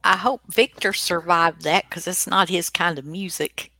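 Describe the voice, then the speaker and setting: A woman's voice: on the low side, steady tone, unhurried, pushed and bright, no echo, studio quality. A woman, hosting and casually chatting as she introduces old radio dramas. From United States. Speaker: host.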